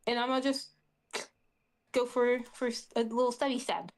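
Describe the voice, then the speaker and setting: A woman's voice: on the high side, level tone, normal speed, slight background noise. A woman chatting casually as a player in a tabletop game, sounding unsure and questioning.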